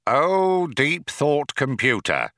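Man acting an excitable, high-pitched programmer. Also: takes it slowly, no room sound, studio quality, full character voice. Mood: reverent. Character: excitable, earnest male computer programmer.

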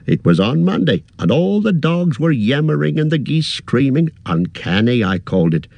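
A man's voice: medium pitch, sing-song, moderate pace, vocal fry, a little mellow, dry recording, muffled recording, voice pushed up. A man reading aloud, voicing a fussy, anxious middle-aged male innkeeper who chatters in a rustic way. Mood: gossipy.

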